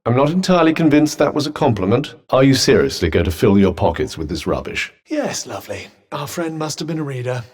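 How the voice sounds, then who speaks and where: An actor playing a haughty, well-spoken talking magic cuff in a video game, with a British accent and dry, sarcastic, formal delivery. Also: male voice, mid-range voice, big pitch swings, fairly quick, few pauses, darkish tone. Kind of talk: scripted video game companion dialogue.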